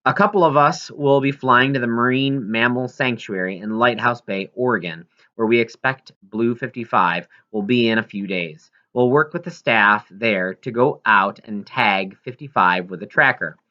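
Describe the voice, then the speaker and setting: A man's voice: medium pitch, moderate pace, few pauses, pushed and bright, lo-fi sound, lightly acted. A man reading aloud as a folksy animal sanctuary staffer announcing a public update in an audiobook. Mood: upbeat, informative.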